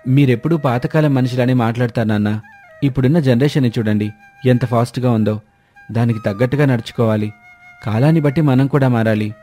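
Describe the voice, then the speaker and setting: A man's voice: medium pitch, brisk, warm tone, faint music behind, natural voice. Man playing an impatient modern young son. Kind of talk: cartoon moral story dialogue.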